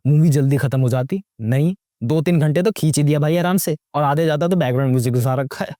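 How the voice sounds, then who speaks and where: A man's voice: on the high side, fast, dark tone, dry recording, clean studio sound. A young man giving casual, sarcastic movie commentary, slangy and mocking, full of rhetorical questions and mixing English into his Hindi. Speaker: YouTube movie reviewer.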